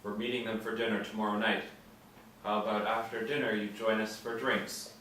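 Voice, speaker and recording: Man acting hard male spy, blunt, lowish pitch, strongly echoing room, screenplay read. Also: level tone, fairly quick, pushed and bright. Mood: matter-of-fact. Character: terse, hard male spy posing as a militant. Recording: very echoey room, distant mic, faint noise.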